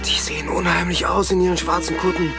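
A nervous young man speaks in a fairly high voice, hesitant and protesting. He is a timid, reluctant companion in a horror audio drama, with music behind him. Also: little pitch movement, fast talker, creaky. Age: young adult. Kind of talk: horror radio drama acting. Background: prominent music.

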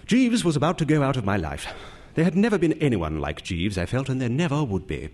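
An actor playing a flighty, indignant young English gentleman in a comic radio drama, with an upper-class English accent and a pompous, theatrical, exclamatory way of talking. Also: medium pitch, big pitch swings, brisk, loud music behind the voice, lightly acted. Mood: dignified, wounded. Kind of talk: comic radio drama acting and first-person narration.

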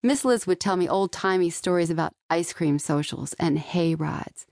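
A woman's voice: lowish voice, normal speed, gravelly, a little mellow, no echo, clean studio sound. A woman reading scripted first-person narration from a young-adult novel as an audiobook narrator. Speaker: author narrating own audiobook. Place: dry studio.

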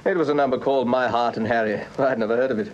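An actor playing a brisk, businesslike police inspector in a radio crime drama, with a British accent and methodical, matter-of-fact delivery. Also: male voice, medium pitch, steady tone, muffled recording, close to an everyday voice. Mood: factual, methodical. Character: brisk, businesslike male police inspector, deferential to his chief. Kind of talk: full-cast radio crime drama acting.

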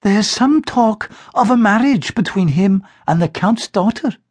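A male narrator voicing a gentle middle-aged mother who speaks tenderly, slowly and soothingly. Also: high-pitched, sing-song, breathy edge, pitched above the performer's own voice, exaggerated voice.

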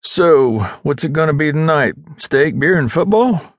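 A man voicing brash, rowdy young Navy SEALs with loud, emphatic banter in an audiobook story. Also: on the high side, lively intonation, measured pace, dry recording, studio quality, pitched above the performer's own voice. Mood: rowdy, eager.

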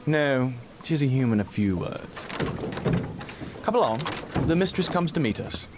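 An actor playing a smug, cool Venusian alien go-between with a dry, precise, haughty manner in a comic sci-fi radio drama. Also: male voice, mid-range voice, sing-song, unhurried, heavy background noise. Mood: haughty, matter-of-fact. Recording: music under the voice.

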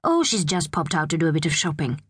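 Woman acting a gentle, soothing man, slightly husky. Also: low-pitched, very animated, fast, no room sound, studio quality, heavily acted. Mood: cheerful. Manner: soothing, patient. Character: cheerful, gentle man reassuring a foster child. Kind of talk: acted children's audiobook dialogue.

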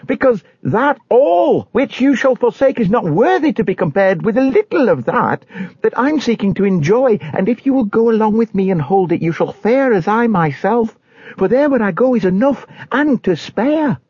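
A man's voice: high-pitched, very animated, moderate pace, slightly rough, no room sound, highs cut off, voice pushed up, full character voice. A man voicing an earnest pilgrim in a storybook, stammering, emotional and imploring. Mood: fervent. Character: anguished, pleading pilgrim man, earnest and fervent.

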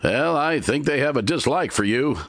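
A man voicing a calm, easygoing frontier bounty hunter in a Western story. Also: medium pitch, moderate pace, voice pushed up. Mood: laid-back.

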